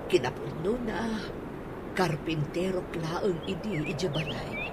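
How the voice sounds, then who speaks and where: A woman's voice: on the low side, a little slow, lightly acted. Devoted older auntie, acted by a woman, noisy birdsong. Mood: plaintive, explaining. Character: older auntie devoted to her much younger boyfriend. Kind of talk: radio drama acting.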